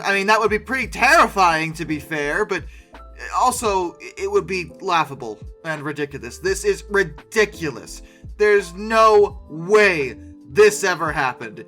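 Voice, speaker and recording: Casual commentary host, very lively intonation, bright voice, sarcastic, incredulous, emphatic pauses. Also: mid-range voice. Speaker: host of a paleoart reaction video. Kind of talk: casual commentary, reacting to pictures. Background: music.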